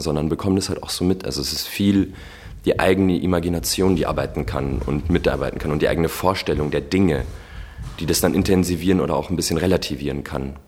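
Very deep, husky man in his thirties. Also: darkish tone. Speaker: acting student. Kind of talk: reflective interview talk.

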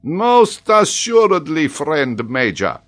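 An excitable French professor acted out by a male narrator, pitched up and swooping dramatically. He draws out his exclamations with theatrical, mock-admiring amazement, as in a storybook. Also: slow. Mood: amused astonishment.

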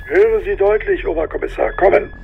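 A man's voice: high voice, brisk, vocal fry, muffled recording, a little hiss or hum. A man acting a terse, commanding military colonel in a radio drama.

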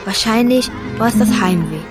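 A woman's voice: a little high, normal speed, close to an everyday voice. An actor playing a young Afghan refugee boy who narrates his childhood in a radio play, in a simple, reflective storytelling manner, with a voice heard as a woman's. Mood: wistful. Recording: music and crowd noise behind.

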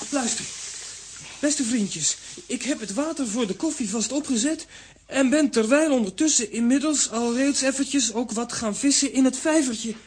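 An eager, alert child in a children's radio play. The voice sits high and calls out keenly. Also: female voice, moderate pace, a little mellow, slightly rough.

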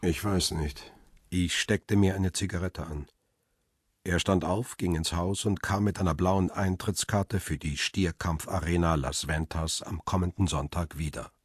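Deep husky guy telling a crime story in first person, brooding, dry close sound. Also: fairly even intonation, unhurried, no echo. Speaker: narrator and lead character. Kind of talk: first-person radio play narration.

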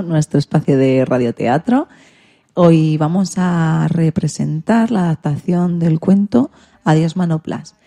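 A woman radio presenter with a fairly low voice. The tone is dark and mellow as she talks. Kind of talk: radio talk.

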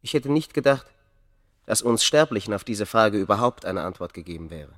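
An actor playing a scholar's sceptical friend, formal and probing, in a German radio play. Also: male voice, lowish voice, expressive, brisk, a bit bright. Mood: wry, curious.

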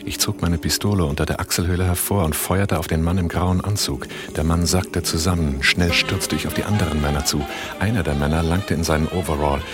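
An actor in a German radio drama playing a cool, controlled gunrunner, terse and matter-of-fact. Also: male voice, sits low, steady tone, brisk, music in the background, lightly acted. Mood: tense, controlled. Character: Altmaier, cool, controlled male gunrunner who takes command. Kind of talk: German radio drama acting and first-person narration.

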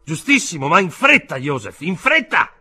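A man voicing an agitated, domineering older uncle in an audiobook, exclaiming loudly and insistently with indignation. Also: on the high side, big pitch swings, moderate pace, husky, pitched above the performer's own voice, heavily acted. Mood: urgent, exasperated.

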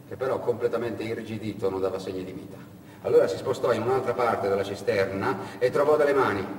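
A man narrating a story on stage in an intense, vivid theatrical style, swinging between hushed and loud. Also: medium pitch. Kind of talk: dramatic theatrical narration with vocal sound effects. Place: reverberant indoor performance space. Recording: echoey hall from far off, noisy.

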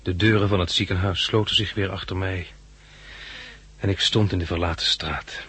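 An actor playing a shaken but helpful hospital patient in a 1960s radio drama, hesitant and urgent. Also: male voice, low voice, steady tone, moderate pace, a bit bright, husky, full character voice. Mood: grave narrating. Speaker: lead actor and narrator. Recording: 1969 radio recording, faint noise.

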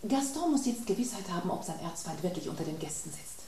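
A woman's voice: fairly low pitch, sing-song, fast talker, warm tone, heavy reverb, recorded from a distance, a little hiss or hum. An actress voicing a female mineral water that reports the latest news, matter-of-fact, in a radio play. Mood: reporting.

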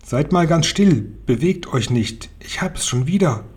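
A man voicing an excitable female witch with a shrill, piercing voice, wailing urgently in a storybook reading. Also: measured pace, husky, full character voice. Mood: excited, urgent. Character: shrieking, excitable large witch sniffing out children.

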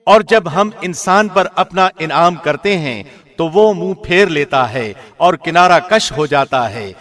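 A man reading a scripture translation in a formal, measured radio presenter's style. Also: fairly high pitch, expressive, a bit bright.

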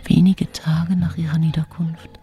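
An actress playing the cold, furtive inner voice of a female poisoner, speaking in a breathy, conspiratorial whisper for radio drama. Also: low voice, little pitch movement, normal speed, warm tone, faint music behind, natural voice. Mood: cold, secretive.

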